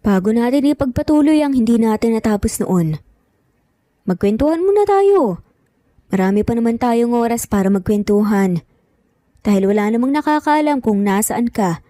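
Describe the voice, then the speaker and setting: A woman's voice: fairly high pitch, expressive, normal speed, warm tone, no room sound, studio quality, lightly acted. A woman voicing a gloating, vengeful middle-aged male villain in an audio story, taunting and menacing. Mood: gloating menace.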